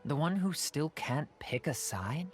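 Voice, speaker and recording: A man's voice: a little high, lively intonation, unhurried. A man playing a scornful, accusing young male convert in an anime video game, with a pointed, needling delivery.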